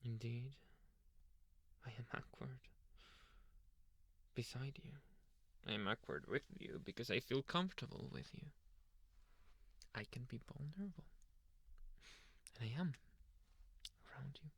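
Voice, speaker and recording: A man voicing a devoted, possessive young alpha werewolf boyfriend in an intimate anime ASMR roleplay, breathy and often dropping to a whisper. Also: medium pitch, dry recording, heavily acted. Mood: playful, awkward, soft. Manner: slow, sighing, soft murmured endearments. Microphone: close microphone, dry.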